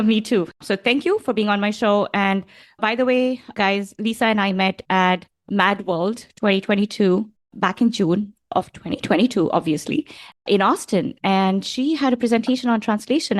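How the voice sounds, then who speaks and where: A woman's voice: medium pitch, moderate pace, dry recording, clean studio sound. A woman hosting an interview podcast, greeting her guest in an upbeat, effusive way. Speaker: podcast host.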